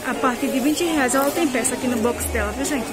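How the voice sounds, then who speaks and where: A woman's voice: high voice, fast talker, loud music behind the voice. A woman, filming a casual walk through market stalls on her phone and calling out prices to her viewers. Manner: chatty, points out prices, asks vendors questions. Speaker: vlogger touring a market. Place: busy market stalls, half outdoors. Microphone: handheld phone camera. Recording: loud crowd noise behind. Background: loud market crowd.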